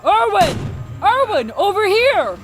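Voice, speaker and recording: A woman playing an excitable, desperate survivor in a zombie apocalypse fan audio drama, emotional and exclamatory. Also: very high-pitched, slow pace, faint music behind, exaggerated voice. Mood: anxious, urgent.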